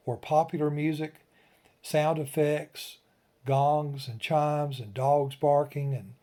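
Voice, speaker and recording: A man's voice: on the high side, dark tone. A man hosting an informal hobby tutorial, explaining step by step in a conversational way. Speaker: ham radio tutorial host.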